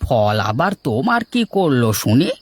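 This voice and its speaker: A man's voice: medium pitch, sing-song, moderate pace. A man voicing village men in a Bengali cartoon moral tale, with theatrical, emphatic delivery. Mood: insistent. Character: village men of a moral tale, from scheming fruit seller to distraught farmer. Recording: music behind the voice.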